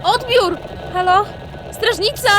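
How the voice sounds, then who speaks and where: A woman playing a tense, urgent female technician in a fantasy audio drama, strained and excitable. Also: extremely high pitch, slow pace, noisy background. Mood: urgent, shouting.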